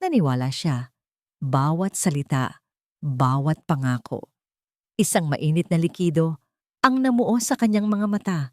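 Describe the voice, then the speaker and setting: A woman's voice: sits low, animated, a little slow, pauses often, warm tone, no room sound, clean studio sound. A woman with a Filipino accent reading a scripted dramatic story as its narrator.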